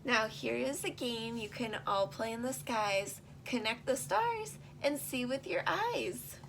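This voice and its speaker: Woman, unhurried children's read-aloud, creaky voice, simple teacherly explaining, faint background noise. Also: on the high side, lively intonation, fairly bright tone, rough recording. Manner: slow, simple, child-directed explaining with questions to listeners. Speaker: reader of a children's book, teaching young listeners. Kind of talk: read-aloud of a rhyming picture book with casual asides.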